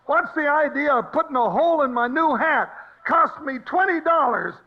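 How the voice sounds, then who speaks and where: An American actor playing a blustering, excitable comic sidekick in an old radio western, with folksy, colorful talk. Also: male voice, very high voice, normal speed. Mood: indignant. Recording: muffled old radio broadcast recording.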